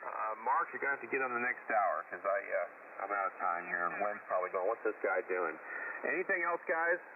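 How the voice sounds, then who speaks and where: A man's voice: fairly low pitch, lively intonation, fairly quick. A man acting as net control on a ham radio net, friendly and businesslike, passing the turn along in a hurry. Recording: distant tinny radio transmission with static.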